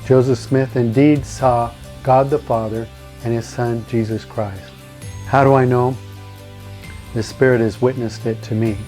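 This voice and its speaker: A man's voice: mid-range voice, fairly even intonation, measured pace, a little mellow, faint music behind. A man giving an earnest, emphatic personal religious testimony as a presenter speaking to an audience on video.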